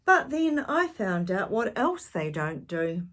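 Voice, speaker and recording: Female storytime reader, husky, lively swooping pitch, dramatic picture-book narration, questioning asides. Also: takes it slowly. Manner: expressive, dramatic, engaging listeners with questions. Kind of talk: expressive picture-book narration with gentle asides to young listeners.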